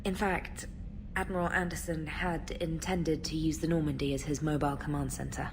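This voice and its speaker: A woman's voice: sits low, little pitch movement, normal speed, background noise. An actress playing a polite, slightly nervous female military communications specialist in a video game. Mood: keen, informative. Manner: polite, formal, slightly flustered. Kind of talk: video game character dialogue.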